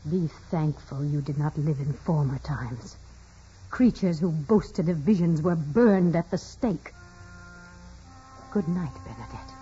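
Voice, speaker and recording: An actress playing a stern, skeptical nun and former schoolteacher, coolly formal and severe, in 1940s radio drama style. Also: female voice, deep voice, lively intonation, slow, dark tone, breathy edge, soft background music, full character voice. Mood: scornful, menacing. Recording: muffled vintage radio broadcast recording.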